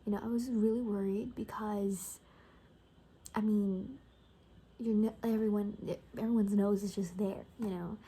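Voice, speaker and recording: Soft, half-whispering woman in ASMR roleplay. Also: medium pitch, fairly even intonation, a little slow. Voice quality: soft, breathy, often whispering. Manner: rambling, gossipy, hesitant casual delivery. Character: cocky, gossipy young woman survivor of zombie apocalypse. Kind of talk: soft-spoken ASMR roleplay, improvised chat.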